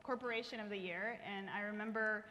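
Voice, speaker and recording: A woman's voice: mid-range voice, steady tone, a little fast, sharp tone, lo-fi sound. Friendly woman from Bolivia on a panel. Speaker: panelist, engineer. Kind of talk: live panel self-introduction. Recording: reverberant hall with faint room noise.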